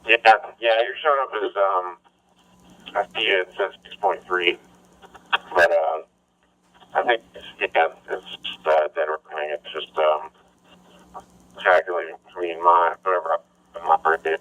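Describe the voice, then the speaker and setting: A man's voice: low voice, steady tone, measured pace, pauses often, sharp tone. A man in a casual two-way chat as an amateur radio operator, heard through a radio transmission. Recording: dull lo-fi ham radio repeater audio.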